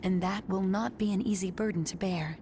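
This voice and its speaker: A woman's voice: mid-range voice, steady tone, normal speed, close to an everyday voice. An actress playing a solemn, cautioning female adviser in a game cutscene, composed and serious. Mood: solemn warning. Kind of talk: video game cutscene acting. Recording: clear background noise.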